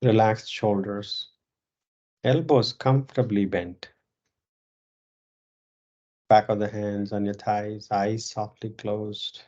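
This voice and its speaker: A yoga teacher guiding a meditation in a calm, measured way, pausing between short phrases, on a dull, muffled recording. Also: medium pitch. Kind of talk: guided meditation instruction.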